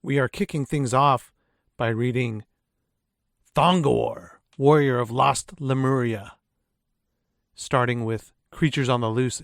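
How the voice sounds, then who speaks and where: A male podcast host with a mid-pitched voice delivers a scripted introduction. His phrasing is theatrical and playful, like a storyteller's, loosened by casual asides. The sound is close and dry, with very little room in it. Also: dry recording.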